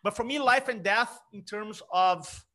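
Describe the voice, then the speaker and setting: A middle-aged man from Brazil with a Brazilian Portuguese accent, an energetic speaking coach talking emphatically in an interview. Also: sits high, measured pace. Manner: energetic, emphatic, frequent restarts. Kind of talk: conversational interview.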